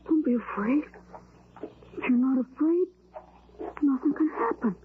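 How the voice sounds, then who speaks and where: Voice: high voice, measured pace. A performer playing an eager, adventurous young boy in 1940s radio drama style. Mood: reassuring. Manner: eager, excitable. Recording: noisy tinny old radio recording.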